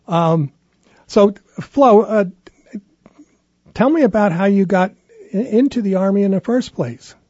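A man's voice: sits high, unhurried, pauses often, a little mellow, dry recording. A man hosting a radio interview, talking conversationally with small restarts. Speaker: radio host. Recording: muffled lo-fi broadcast audio.

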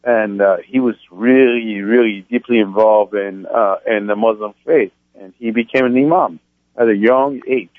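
A man's voice: lowish voice, moderate pace, dry recording. A man in his thirties from France, telling his story earnestly as a radio guest, with many restarts. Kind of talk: phone-in interview. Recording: tinny phone line.

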